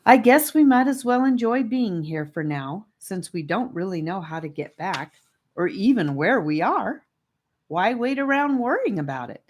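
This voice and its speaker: A woman's voice: mid-range voice, very animated, moderate pace, darkish tone, pitched above the performer's own voice. A woman voicing a sensible, cautious, principled young girl in a children's audiobook. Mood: resigned.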